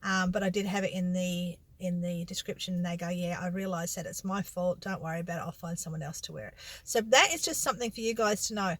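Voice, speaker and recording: A woman's voice: fairly low pitch, fast. Middle aged aussie lady vlogger just chatting away, rambly, fixes herself mid sentence, dry sound no echo. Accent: Australian. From Australia. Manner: rambling, self-correcting, colloquial. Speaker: vlogger and secondhand reseller. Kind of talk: casual chatty vlog monologue.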